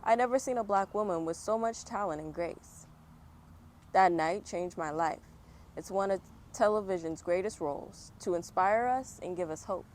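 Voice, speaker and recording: A woman's voice: mid-range voice, normal speed, a little mellow. A woman reading a children's picture book aloud with chatty, encouraging asides to young viewers, recorded outdoors. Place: outdoors on a fall day. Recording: outdoor background noise, passing vehicles. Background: outdoor ambience, traffic.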